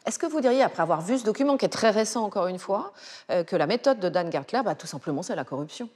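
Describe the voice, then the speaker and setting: A woman's voice: mid-range voice, lively intonation, fast, a little mellow, long reverb tail. A woman journalist asking probing, insistent interview questions.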